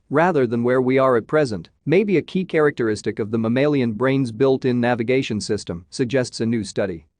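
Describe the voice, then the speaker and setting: A man's voice: medium pitch, darkish tone, no room sound. A man reading a scripted academic passage aloud as a practice example. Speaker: read-aloud example reader.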